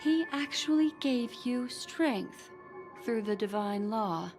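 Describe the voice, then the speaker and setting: An actress playing a cool, stern and suspicious woman in a video game, speaking in a measured, guarded, cutting way. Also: a little high, lively intonation, darkish tone. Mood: defiant. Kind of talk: dramatic video game voice acting. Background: faint background music.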